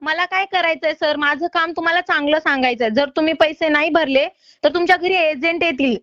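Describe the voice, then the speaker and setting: Very high-pitched, insistent woman. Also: brisk, fairly bright tone, no room sound. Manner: insistent, polite but exasperated. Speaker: loan recovery caller. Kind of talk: phone collection call. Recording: slightly dull phone-call audio.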